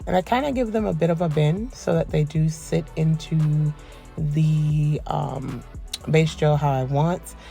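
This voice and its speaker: A woman's low, mellow voice with a gravelly fry narrates a nail tutorial in a soft, polite tone she keeps for work calls. She talks in a relaxed, meandering way. Faint music plays beneath her voice. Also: unhurried. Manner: soft, quiet, polite phone-etiquette voice; relaxed, rambling with many ums. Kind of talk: soft-spoken voice-over tutorial. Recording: voice-over with faint background music.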